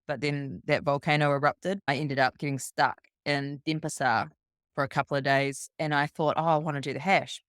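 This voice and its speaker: Smooth-voiced New Zealand woman storytelling. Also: sits low, no room sound, clean studio sound. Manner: brisk, rambling anecdote full of quoted dialogue. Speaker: podcast guest. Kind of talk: casual storytelling.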